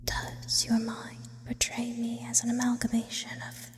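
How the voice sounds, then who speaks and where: A breathy, whispered female demon acted out by a woman hangs in long reverb. She is eloquent, brooding and lonely, an immortal creature of sleep paralysis in an ASMR roleplay. Her words come slowly, with lingering pauses and very little swing in pitch. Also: completely flat delivery. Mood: eerie, intimate, musing. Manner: slow, philosophical, ornate wording with lingering pauses.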